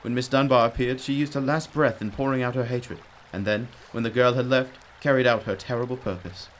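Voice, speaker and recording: A man's voice: mid-range voice, steady tone, close to an everyday voice, poor audio. Guy playing brilliant bossy detective in a radio play, emphatic and courteous, faint noise behind. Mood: assured, explanatory. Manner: emphatic, deliberate explaining, old-fashioned courteous address. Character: brilliant, commanding, self-critical male detective. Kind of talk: radio drama acting.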